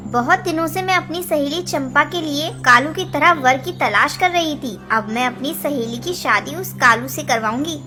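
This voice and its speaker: A woman puts on a sly, spiteful parrot wife in a kids' cartoon, talking in a taunting, scheming way. Her voice stays high and a little hushed, with music clearly behind. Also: close to an everyday voice. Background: background music.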